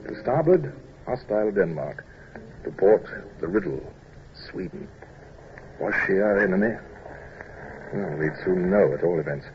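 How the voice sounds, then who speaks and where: An actor playing a middle-aged British naval commodore in an old-time radio drama, clipped, commanding and urbane, who also narrates the battle. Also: male voice, sits low, lively intonation, measured pace, pauses often. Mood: grave narration. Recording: dull, noisy old radio broadcast recording.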